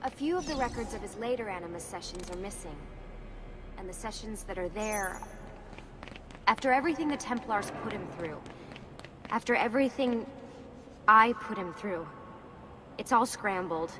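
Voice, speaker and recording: An actress plays a determined young woman who leads a team in a video game. She is matter-of-fact and guarded, with a medium pitch and clear ambient noise around her. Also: expressive, normal speed, pauses often, close to an everyday voice. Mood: sombre, guarded. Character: serious young female team leader, brisk, determined, guarded. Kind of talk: video game cutscene dialogue.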